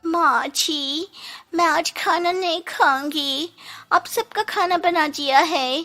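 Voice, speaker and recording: A woman's voice: extremely high pitch, slow pace, pushed and bright, soft background music, exaggerated voice. A woman voicing a kind, troubled young daughter-in-law in a moral-story cartoon, speaking earnestly. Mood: dejected.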